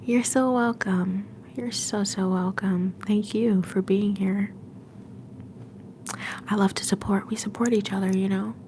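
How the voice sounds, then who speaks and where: A young woman hosting a livestream from home, whispering softly and affectionately to her viewers. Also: mid-range voice, steady tone, unhurried, a little hiss or hum. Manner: soft whisper, affectionate and encouraging. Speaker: livestream host.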